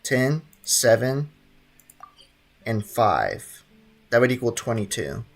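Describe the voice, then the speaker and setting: A man's voice: mid-range voice, very animated, slow, creaky. A young man, chatting casually with his live-stream audience while playing a video game. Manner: chatty, greets chat, talks to himself while playing. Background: faint game music.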